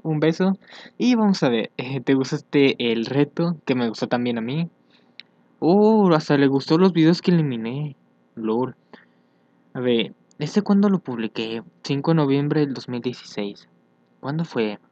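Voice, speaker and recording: A young man chatting casually as he reads social media aloud for a vlog, hesitant and thinking out loud. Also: on the high side, no room sound. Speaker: fandub YouTuber. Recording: dull, lo-fi home microphone.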